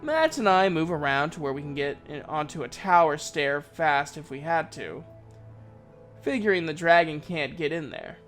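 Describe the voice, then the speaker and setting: A man's voice: fairly high pitch, animated, normal speed, fairly bright tone, faint music behind. A man narrating a fantasy story in the first person, in a folksy, conversational storyteller's manner. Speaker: narrator, voice actor.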